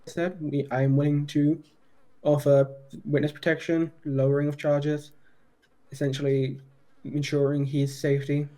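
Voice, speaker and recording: Man with a mellow, even-toned voice. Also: fairly high pitch, normal speed. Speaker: roleplayer playing a police detective. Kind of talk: improvised police interview roleplay.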